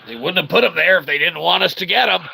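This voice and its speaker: A man's voice: high voice, fast, vocal fry, very noisy. A man giving casual, wry commentary as he plays a video game, talking back to the game and its characters with mild swearing. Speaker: host of a gameplay video.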